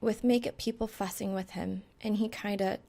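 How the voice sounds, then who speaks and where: A woman voicing a mortified, dramatic young woman gamer who veers between flat monotone and thin whimpering. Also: mid-range voice, normal speed, gravelly, close to an everyday voice. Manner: thin-voiced, whimpering, dead-eyed monotone.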